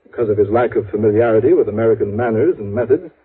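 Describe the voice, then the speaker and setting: An actor playing an anxious, proper insurance executive in a 1950s radio drama, earnest, hesitant and formal. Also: male voice, lowish voice, quick pace, natural voice. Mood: earnest explaining. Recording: tinny vintage radio, phone-like band.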